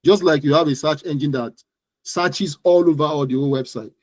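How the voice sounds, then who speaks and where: A man's voice: on the high side, brisk, darkish tone, no room sound. A man with a Nigerian accent giving interview answers as an expert guest, explaining things emphatically and often repeating words and phrases. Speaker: guest digital marketing expert.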